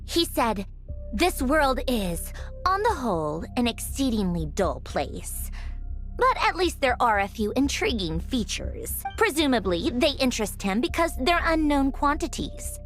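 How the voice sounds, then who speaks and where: A woman playing a sharp, blunt, analytical young woman in a fantasy video game. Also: high voice, unhurried, faint music behind. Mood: wry. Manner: animated, blunt, sardonic.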